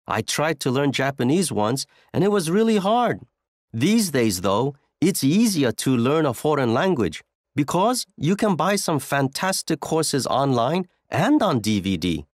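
Thoughtful male learner, played by an actor for course audio: animated pitch, dry studio. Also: a little slow, no room sound. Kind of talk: scripted textbook listening passage.

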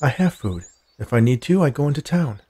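A man's voice: mid-range voice, animated, a little fast, dark tone, husky, voice pushed up. A man voicing a guarded, stubborn young woman in a story, terse and defiant. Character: guarded, stubborn young woman defending her grandfather's land.

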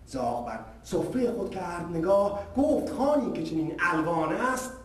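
A man reciting a narrative poem in a measured, declamatory way, verse by verse. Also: high-pitched, big pitch swings. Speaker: poetry reciter. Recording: distant, echoey hall, faint noise.

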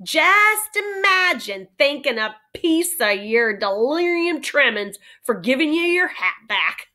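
A woman's voice: sits high, sing-song, slow, bright, forceful tone, pitched above the performer's own voice, exaggerated voice. A woman voicing a tiny, weepy, bewildered cowboy man in a children's storybook, with a folksy American cowboy drawl and plenty of slang.

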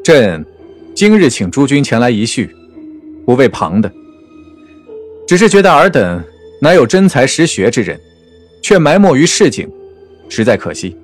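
An actor playing a suave, gentle-mannered young usurper emperor, measured and courtly, in a period audio drama. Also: male voice, mid-range voice, sing-song, faint music behind. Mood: courteous, composed.

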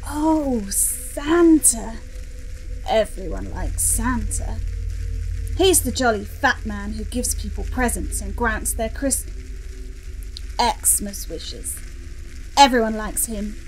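A woman playing a slow-witted demon servant, obsequious yet blunt, in a comic audio drama. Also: fairly high pitch, a little hiss or hum, rough recording. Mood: simple, eager.

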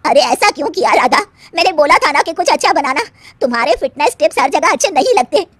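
Woman playing high-voiced exclaiming old mother-in-law. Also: expressive, fast talker, a bit bright, no room sound. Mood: scolding. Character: elderly mother-in-law, proud, easily exasperated. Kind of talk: Hindi cartoon moral-story acting.

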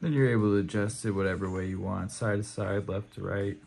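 A man's voice: lowish voice, steady tone, brisk, gravelly, a little mellow, breathy edge, soft background music. A man casually walking through his motorcycle camera and mic setup in a laid-back, rambling way, often correcting himself. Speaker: motovlogger. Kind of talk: casual gear walkthrough.

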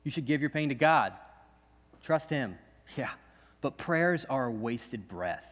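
An actor playing a bitter, distrustful man who keeps himself restrained, talking in halting bursts that repeat and break off, with pointed questions at the listener. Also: medium pitch, expressive, fairly bright tone. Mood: bitter, defiant. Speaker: actor in a monologue. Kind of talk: dramatic stage monologue. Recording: long echoey hall reverb.

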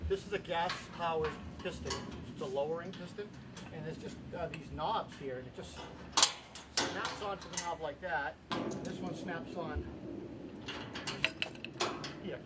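A man's voice: fairly high pitch, measured pace, pauses often. A man in his forties giving an informal, plain-spoken how-to as a carpenter, explaining the job step by step. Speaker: carpenter hosting a home improvement series. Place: outdoors in a house yard. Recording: noisy outdoor camera audio with ambient sound.

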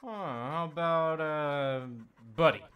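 A man's voice: a little high, takes it slowly, clean tone, lo-fi sound, voice pushed up. A man voicing a grumpy, sluggish, put-upon man who drawls and grumbles in an audiobook. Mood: hesitant.

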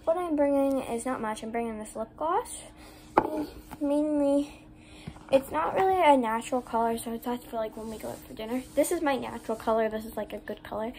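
A woman's voice: on the high side, fairly quick. Chatty young girl vlogging in her bedroom. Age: 11, child. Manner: rambling, chatty, many "like" fillers. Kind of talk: casual vlog chat. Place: bedroom, indoors. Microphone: phone camera on desk. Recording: noisy room ambience.

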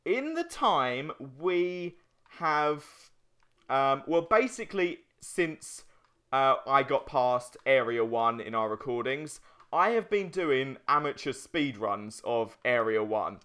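A man's voice: medium pitch, lively intonation, slow pace, bright, forceful tone, smooth. A man hosting a let's play, chatting casually and jokingly over competitive gameplay. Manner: joking, easily amused. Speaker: let's play host and gamer.

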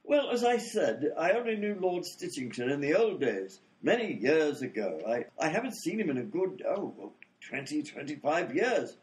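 A mild gentleman inventor, acted by a man for radio comedy. He sounds fussy and flustered, with a highish voice that rises and falls freely. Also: big pitch swings, normal speed, few pauses, poor audio. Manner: polite, fussy, flustered, old-fashioned exclamations. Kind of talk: old-time radio comedy acting.

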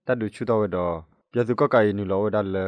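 A man's voice: on the low side, fast, a little mellow, dry recording. A man giving a scripted news report as a radio reporter, on a dull recording. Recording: dull, limited-band radio sound.

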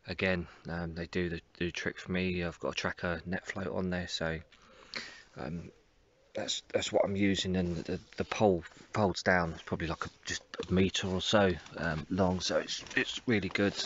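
A man in his forties, an angler vlogging from a riverbank into a phone camera close up, talking through his gear in a relaxed, halting way with many ums and restarts. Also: low voice, muffled recording. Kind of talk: casual walkthrough of fishing gear. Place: riverbank outdoors. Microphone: phone camera held close.

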